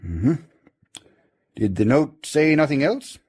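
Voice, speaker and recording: An actor playing an authoritative British official questioning suspects in a radio detective drama, formal, measured and insistent. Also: male voice, medium pitch, sing-song, darkish tone, husky, exaggerated voice. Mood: probing, stern.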